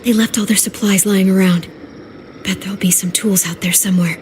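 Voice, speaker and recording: An actress playing a sharp, skeptical, self-reliant teenage girl in a video game drama, with a blunt way of speaking. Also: medium pitch, fairly even intonation, a little fast, slight background noise, natural voice. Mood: matter-of-fact.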